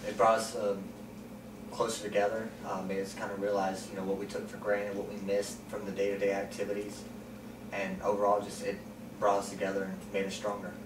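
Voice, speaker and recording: A man in his twenties answering interview questions calmly and plainly, with frequent ums. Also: fairly low pitch, a little fast. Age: 20s. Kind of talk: sit-down interview. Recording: roomy, distant mic, noisy.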